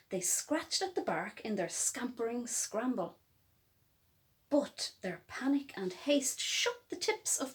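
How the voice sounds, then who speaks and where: Female children's storyteller: high voice, unhurried pace, dramatic emphasis on rhyming verse. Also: a little mellow. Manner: dramatic, emphatic, with drawn-out words and pauses. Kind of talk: expressive picture-book storytelling in rhyme.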